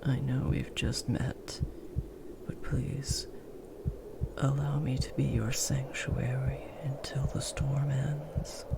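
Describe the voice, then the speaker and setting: A man voicing a big, gentle, protective werewolf in a comforting ASMR roleplay, speaking in a hushed, soothing, breathy murmur. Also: mid-range voice. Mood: soothing, tender.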